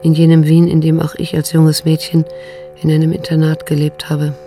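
An actress playing a troubled middle-aged daughter in a radio drama, narrating her inner thoughts in a hushed, brooding, bitter way. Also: low voice, monotone, normal speed, soft background music. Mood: haunted, brooding.

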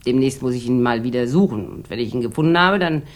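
A woman's voice: rumbling low, animated, quick pace. A woman playing a bored, boozy rich housewife in a 1960s German radio drama, theatrical and sardonic, drawing out her rambling remarks. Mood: sardonic, bitter.

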